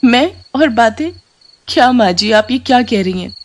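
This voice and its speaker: A woman playing a deceitful young daughter-in-law in a story, brisk, impatient and falsely innocent. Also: fairly high pitch, lively intonation, pitched above the performer's own voice, natural voice. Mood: feigned innocence.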